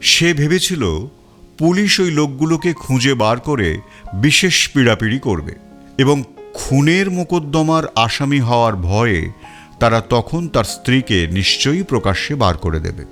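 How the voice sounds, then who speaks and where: Over faint music, a man of medium pitch narrates a detective tale. His intonation moves freely, and he phrases the story in a measured way with deliberate pauses. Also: fairly bright tone. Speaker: audiobook narrator. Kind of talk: scripted first-person detective story narration.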